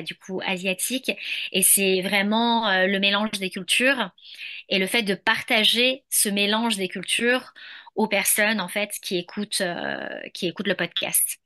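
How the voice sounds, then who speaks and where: A woman's voice: mid-range voice, sharp tone. A young French woman talking in an interview, hesitant, with many fillers and restarts. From France. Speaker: podcast creator, guest. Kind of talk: casual interview conversation.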